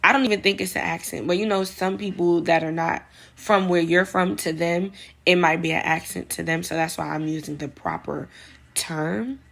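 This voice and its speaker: A young woman with a New York accent talks straight to her viewers in a relaxed vlog, with lots of "like" fillers. Her voice sits a little low and carries a gravelly fry, and she keeps a casual, confiding tone throughout. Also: moderate pace. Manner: chatty, speaks straight to viewers, many "like" fillers. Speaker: vlogger.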